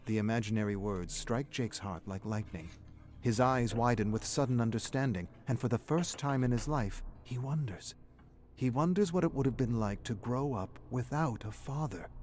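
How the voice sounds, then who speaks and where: An actor narrating a dramatic story in a solemn, measured way as a storyteller. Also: male voice, medium pitch, a little mellow, natural voice. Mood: earnest, reflective. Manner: solemn, measured storytelling that also voices the characters' dialogue. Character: solemn storyteller narrating a young man's troubled memories. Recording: background music under the narration.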